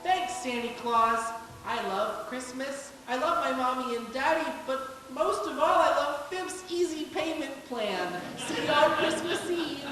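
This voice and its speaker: Lady doing cheeky little kid, boy, high voice, old radio ad, echoey hall. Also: expressive, unhurried. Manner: cheeky, earnest sales patter. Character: brash, wisecracking little boy. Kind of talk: 1940s radio commercial acting. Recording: echoey hall, distant, loud music behind.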